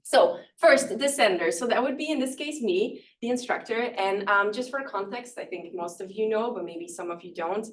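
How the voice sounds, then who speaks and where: A woman's voice: medium pitch, moderate pace, few pauses, distant mic, slight background noise. A woman from northern Belgium whose first language is Dutch, giving a public lecture as a professor in a casual, self-deprecating way with many fillers and small laughs. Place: lecture room.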